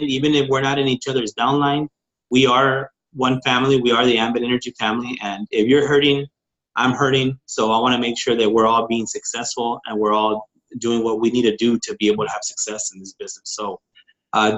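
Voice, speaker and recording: A man's voice: medium pitch, steady tone, a little fast, fairly bright tone, dull audio. A man, a network marketing consultant from Texas, casually teaching on a group call, eager and informal, with many fillers and restarts.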